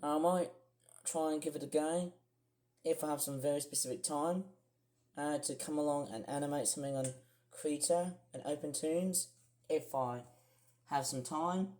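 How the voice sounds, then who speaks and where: Young man, croaky voice, rambling vlogger. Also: fairly high pitch, fairly even intonation, normal speed, a little mellow. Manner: rambling, many fillers and restarts. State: croaky, clearing throat. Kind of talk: casual screen-share vlog.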